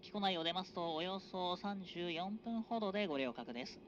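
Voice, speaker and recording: A train conductor making formal, measured announcements over an express train's public address system. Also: male voice, sits high, animated, a bit bright. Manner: formal, measured announcer cadence with drawn-out phrases. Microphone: train PA system recorded from a passenger seat. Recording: dull, noisy onboard PA recording.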